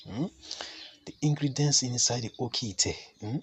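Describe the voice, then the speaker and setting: A man, slightly hoarse from a cold, giving an earnest spiritual teaching as a chief priest. He often stops to ask the listener a rhetorical "hmm?". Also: medium pitch, measured pace, slight background noise. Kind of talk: talking-head teaching to camera.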